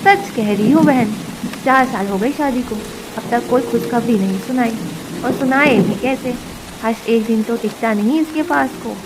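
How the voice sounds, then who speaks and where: A woman voicing snide gossiping neighbourhood women in an animated story. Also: fairly high pitch, normal speed, heavy background noise, close to an everyday voice. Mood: catty. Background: background music.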